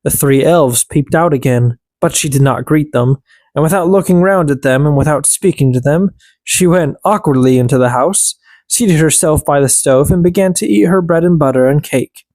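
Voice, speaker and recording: An audiobook narrator reading a fairy tale as expressive scripted narration. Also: mid-range voice, moderate pace, dry recording.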